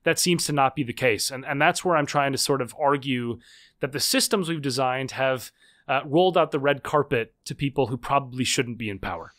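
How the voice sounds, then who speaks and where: A man's voice: medium pitch, fairly quick, a bit bright, dry recording, studio quality. A man, an author and political scientist, answering interview questions in an articulate, earnest way with frequent fillers. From United States.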